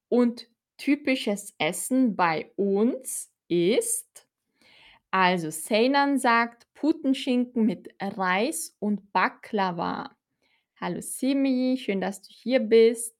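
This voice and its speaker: Lady teaching a live class online, talks real slow and clear, says things twice. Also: medium pitch. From Austria. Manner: slow, clear teacher talk, repeats words for learners. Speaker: German teacher. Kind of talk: live-streamed language lesson.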